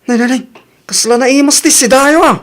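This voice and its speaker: A man with an Ilocano accent playing a loud, chummy freeloading neighbour in a comedy radio drama. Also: extremely high pitch, brisk. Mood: excited.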